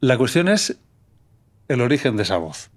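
Middle-aged man from Menorca, guest storyteller, lively and earnest, keeps checking the listener follows. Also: medium pitch, normal speed. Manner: animated, frequent "¿vale?" checks, repeats himself. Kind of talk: conversational storytelling.

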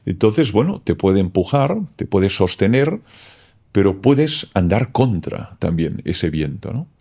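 A man whose first language is Catalan speaks as a philosopher guest, reflective and explanatory. His voice sits low, with a mellow, dark tone and a gravelly fry. He keeps checking in with short questioning tags. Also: very animated, unhurried. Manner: thoughtful, explanatory, keeps tagging "¿no?". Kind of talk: reflective interview talk.

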